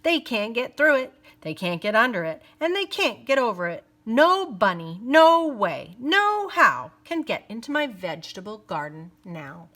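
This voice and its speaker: A woman's voice: fairly high pitch, big pitch swings, measured pace, few pauses, fairly bright tone, exaggerated voice. Woman doing a fussy gardener man. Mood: triumphant. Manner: emphatic, rising exclamations. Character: fussy male gardener, grows angry at bunnies.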